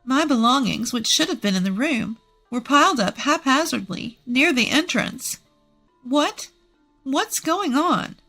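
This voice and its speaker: A woman's voice: sits high, expressive, normal speed, pushed and bright, faint music behind. A woman reading a scripted, dramatic first-person story as its narrator.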